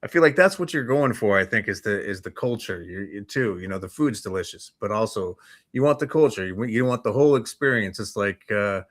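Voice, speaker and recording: A man's voice: on the low side, animated, a little fast. A North American man co-hosting a talk show, chatting casually in a laid-back, joking way.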